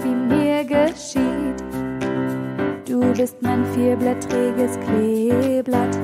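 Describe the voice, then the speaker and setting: A woman singing a sentimental love song with piano backing. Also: high voice. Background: piano and musical backing.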